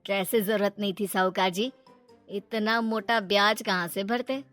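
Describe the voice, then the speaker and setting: A woman voicing an honest, polite and eager noodle-cart seller, a man, in an animated moral story. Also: mid-range voice, normal speed, soft background music, voice pushed down, close to an everyday voice. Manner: polite, obliging.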